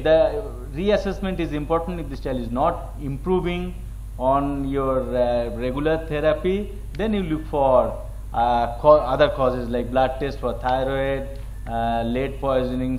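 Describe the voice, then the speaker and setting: A man with an Indian accent moderating a medical panel discussion in a halting, repetitive way. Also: fairly high pitch, darkish tone. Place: conference hall with panel table. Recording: noisy conference hall recording.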